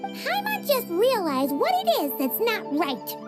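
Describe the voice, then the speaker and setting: A woman voicing a tiny excitable female floating companion in a video game, chirpy and quick to exclaim, with game music behind her. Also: extremely high pitch, full character voice. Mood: excited. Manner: chirpy, quick to exclaim, talks about herself by name.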